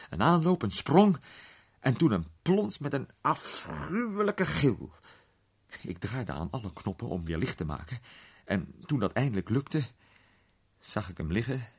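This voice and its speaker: A man playing an urbane gentleman detective who recounts his case with measured, suspenseful pauses in a radio play. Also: mid-range voice, husky, heavily acted. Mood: tense, dramatic. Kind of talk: dramatised storytelling in a radio play.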